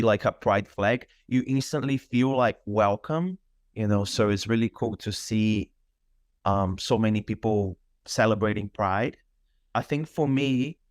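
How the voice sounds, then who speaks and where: A man's voice: on the low side, no echo, clean studio sound. Brazilian-born man in his thirties. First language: Portuguese. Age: 30s. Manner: thoughtful, with frequent fillers. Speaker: fitness instructor. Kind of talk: casual interview chat.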